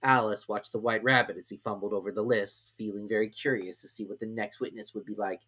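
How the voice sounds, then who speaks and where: A woman narrating a children's storybook with expressive delivery. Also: very deep voice, brisk, breathy edge.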